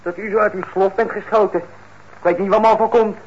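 An actor playing a sullen, stubborn young lad in a radio drama, curt and grudging. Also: male voice, high-pitched, steady tone, fairly quick, breathy edge. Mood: sullen, reproachful. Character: sullen, stubborn working-class lad, fed up and evasive. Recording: muffled, noisy old radio recording.

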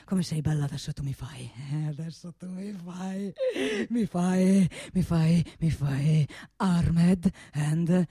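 A woman playing a proud, obsessive male heir of a gun-making family in a radio play, halting and repeating fervently. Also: lowish voice, warm tone, breathy edge, no room sound, studio quality, exaggerated voice. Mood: devoted, murmuring. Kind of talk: theatrical radio play monologue.

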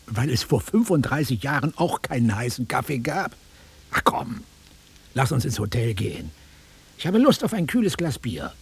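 Very raspy, blunt middle-aged salesman. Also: medium pitch, very animated, normal speed, exaggerated voice. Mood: impatient, annoyed. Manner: offhand, dismissive, grumbling. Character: bored, blunt middle-aged travelling salesman, worldly and impatient. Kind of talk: naturalistic radio drama acting.

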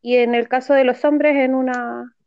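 A Chilean woman in her forties explains her views thoughtfully, often repeating words as she searches. Her voice is high. The sound is dry, close and dull. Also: level tone, moderate pace, clear, resonant tone, dry recording. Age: 40s. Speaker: podcast guest, activist. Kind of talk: casual discussion.

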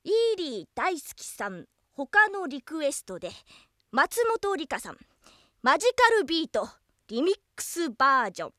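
A brash young tomboy adventurer from an anime radio show. Her voice is high and bright, swinging widely in pitch, with a rough, boyish and teasing way of talking that gets bashful easily. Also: sing-song, normal speed, no room sound, studio quality, full character voice. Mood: presenting. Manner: rough, boyish casual speech. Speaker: radio request show co-host. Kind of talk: scripted anime character radio talk.

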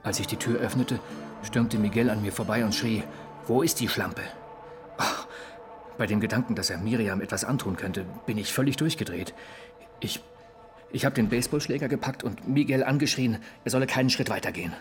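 Confessing male suspect for crime radio play, acted: lowish voice, subdued and halting, lovesick, broken. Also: music in the background, natural voice. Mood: distressed. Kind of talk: crime radio drama acting.

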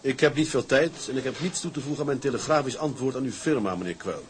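An actor playing a proud, determined sea captain in a Dutch period radio drama, curt and commanding. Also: male voice, mid-range voice, quick pace, background noise, lightly acted. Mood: curt, cold.

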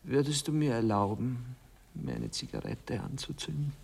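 An actor playing a self-important, touchy poet and lover in a 1960s radio play, languid and theatrical, often sinking to a murmur. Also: male voice, mid-range voice, wildly swooping pitch, moderate pace, darkish tone, slight background noise. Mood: touchy, dry. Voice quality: husky, breathy, gravelly.

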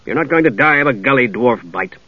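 A man voicing a brisk, authoritative captain of the guard, dismissive and weary, in a fantasy audiobook. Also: medium pitch, animated, husky, dry recording, lo-fi sound. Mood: dismissive orders.

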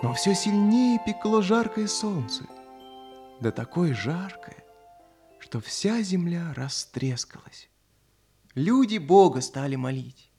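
A man tells a fairy tale to small children in a theatrical manner. His voice is high for a man and very animated in melody. Music is clearly present behind him. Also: takes it slowly. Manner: theatrical, addressing small listeners. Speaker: storyteller.

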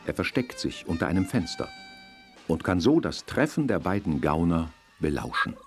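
A man's voice: deep voice, very animated, normal speed. A man narrating a children's audio play as its storyteller. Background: faint music.

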